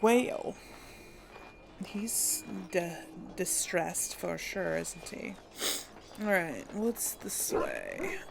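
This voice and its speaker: A woman's voice: on the low side, huge pitch swings, very slow, vocal fry, background noise. A woman playing a video game, chatting casually and laughing often, sometimes speaking as her character. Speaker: game streamer. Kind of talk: casual let's-play commentary with in-character lines.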